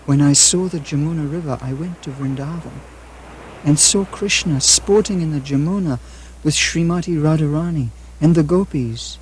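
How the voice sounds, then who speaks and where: An actor playing an ecstatic saintly lord in a puppet show, speaking in a dreamy, wistful, measured way over music. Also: male voice, on the high side, a little hiss or hum, natural voice, rough recording. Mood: dreamy, joyful. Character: ecstatic saintly lord waking from a mystical vision.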